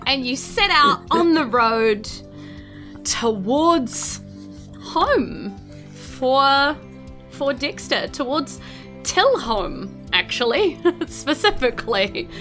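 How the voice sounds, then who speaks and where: Bright-voiced New Zealand woman, giggly. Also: high-pitched, sing-song, drawn-out words. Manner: giggly, chatty. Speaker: game master and podcast host. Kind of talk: casual tabletop game narration and chat. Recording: music behind the voice.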